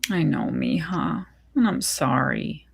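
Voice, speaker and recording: A woman acts out a middle-aged mother in a story reading. Her voice is husky and a little low, with plenty of creak. She speaks soothingly and affectionately, reassuring her grown child. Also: animated, takes it slowly, exaggerated voice. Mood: comforting. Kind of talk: dramatic short story reading.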